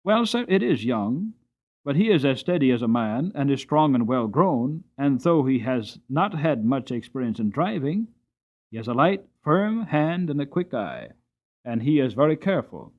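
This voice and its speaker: A man's voice: medium pitch, big pitch swings, normal speed, lo-fi sound. A man voicing a loyal, plain-spoken Victorian coachman in an audiobook, earnest, emphatic and respectful. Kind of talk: dramatic audiobook character reading.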